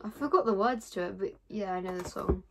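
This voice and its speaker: A woman's voice: medium pitch, a little fast. A young adult woman vlogging in casual chat, recorded by a camera a short distance away in a small bedroom. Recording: noisy homemade bedroom camera audio.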